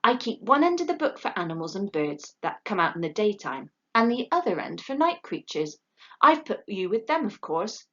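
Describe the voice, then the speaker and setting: A kind young lady speaks sweetly and gently, as a woman reading a storybook voices her. Her voice moves in lively, animated tones over a slightly dull, lo-fi recording. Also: medium pitch, moderate pace, close to an everyday voice. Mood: gentle.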